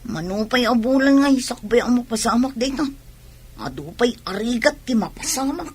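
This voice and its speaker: A woman with an Ilocano Filipino accent playing a fretful, irritable young pregnant wife in a radio drama, in a husky voice. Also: a little high, animated, normal speed, natural voice. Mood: weary, complaining.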